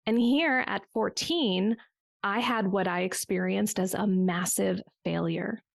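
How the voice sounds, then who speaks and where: A woman's voice: medium pitch, a little slow, gravelly, no room sound, clean studio sound. A woman in her forties, a business coach guest on a podcast, telling her story in a reflective way with small restarts. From United States. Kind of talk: conversational podcast interview.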